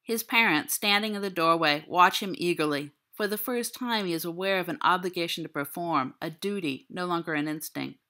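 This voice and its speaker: A woman's voice: lowish voice, brisk, hardly any pauses, sharp tone. A woman reading literary fiction aloud as a scripted narration. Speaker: audiobook reader.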